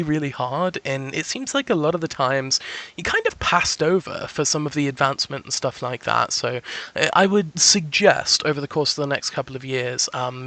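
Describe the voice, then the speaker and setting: A man's voice: a little high, bright, forceful tone, no room sound. A man giving a casual one-on-one psychic reading in a chatty, reassuring way, often checking that he is understood. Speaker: psychic reader.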